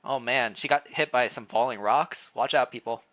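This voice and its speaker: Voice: mid-range voice, normal speed, a bit bright. A streamer reading interactive fan fiction aloud, with playful asides between the narration. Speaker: streamer, reader.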